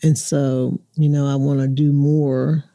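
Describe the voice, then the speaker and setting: A woman's voice: rumbling low, level tone, measured pace, dark tone, dry recording, clean studio sound. A woman in her sixties talking as an interview guest in a casual, reflective chat. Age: 60s.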